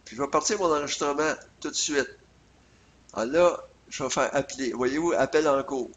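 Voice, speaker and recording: A man's voice: a little high, moderate pace, fairly bright tone, a little hiss or hum. A man with a Quebec French accent narrating a casual screencast tutorial step by step, with some hesitation, on a USB computer microphone. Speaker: software tutorial presenter.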